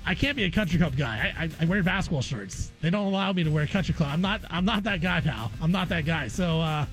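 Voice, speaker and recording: A man's voice: a little high, expressive, fast talker, fairly bright tone, soft background music. A man hosting sports radio in a casual, opinionated way, repeating phrases for emphasis. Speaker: sports radio guest host.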